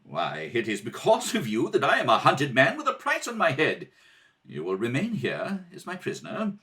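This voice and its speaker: A man's voice: medium pitch, wildly swooping pitch, moderate pace, sharp tone. A man playing a stern, suspicious rebel prince with an imperious manner, in old radio serial style.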